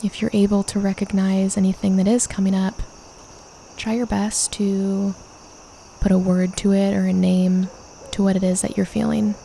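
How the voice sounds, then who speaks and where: A woman leading a guided meditation, calmly and gently instructing with unhurried pauses. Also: medium pitch, gravelly, slight background noise.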